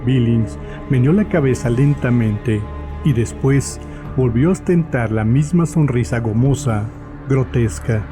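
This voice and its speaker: A man's voice: medium pitch, a little slow, close to an everyday voice. A man narrating a scripted horror short story in an audio drama. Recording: narration over background music.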